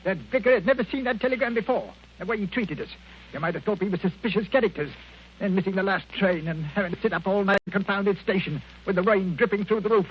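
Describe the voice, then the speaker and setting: Guy acting a cranky older british skinflint, high voice, blustery, old dull radio recording. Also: quick pace, slight background noise, close to an everyday voice. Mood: grumbling, indignant. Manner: blustering, indignant. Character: irascible, miserly, menacing husband turned murderer. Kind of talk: mid-century radio drama acting.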